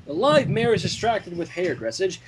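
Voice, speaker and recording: A man reading fan fiction aloud as an expressive story narrator. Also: sits high, normal speed, background noise.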